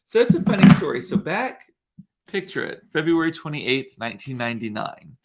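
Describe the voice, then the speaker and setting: A man's voice: a little high, big pitch swings, normal speed. A congested, sniffly man in his forties hosting a casual livestream from a room at home, rambling and chatty as he tells stories. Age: 40s. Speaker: livestream host. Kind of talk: casual solo livestream chat.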